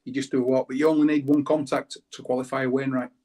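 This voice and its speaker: A man's voice: medium pitch, little pitch movement, fast, dark tone, a little hiss or hum. A British man, a guest on a show, explaining things in casual conversation. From United Kingdom.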